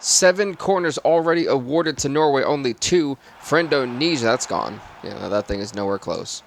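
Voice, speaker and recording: A man with a raspy voice doing live football game commentary and stream chat in sportscaster phrasing. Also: medium pitch, lively intonation, normal speed, a little hiss or hum.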